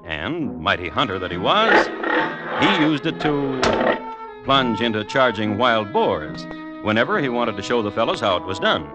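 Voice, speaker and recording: A man hosting and narrating in 1950s radio style, with a dry, droll, deliberate, mock-formal delivery. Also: mid-range voice, sing-song. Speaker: host and narrator. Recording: old radio recording with music behind. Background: music.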